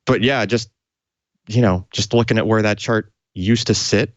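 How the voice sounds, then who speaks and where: A man's voice: lowish voice, fairly even intonation, moderate pace, no room sound, highs cut off. A man co-hosting a tech show, explaining technical detail off the cuff in an enthusiastic, conversational way.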